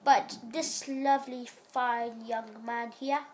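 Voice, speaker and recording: A woman hosting a gaming channel, giving casual rambling commentary on gameplay while sick, sounding nasal and stopping to cough, recorded in a dark room on a phone aimed at a TV. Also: a little high, steady tone, slow pace, a little hiss or hum.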